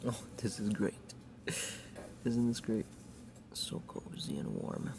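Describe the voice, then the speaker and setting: A man speaking softly and affectionately as a doting boyfriend in an intimate, hushed ASMR roleplay. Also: fairly low pitch, sing-song, takes it slowly, vocal fry, noisy background. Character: affectionate, doting young boyfriend soothing his sleepy partner.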